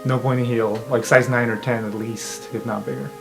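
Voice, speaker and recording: An animated game master improvises descriptive narration for a tabletop fantasy game. The voice is middling in pitch, with music clearly playing underneath. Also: normal speed.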